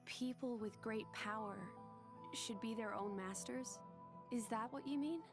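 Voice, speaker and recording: A woman playing a gentle, thoughtful young female companion in a video game, speaking softly and with care. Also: fairly high pitch, a little slow, over music. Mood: questioning. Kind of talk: video game cutscene voice acting.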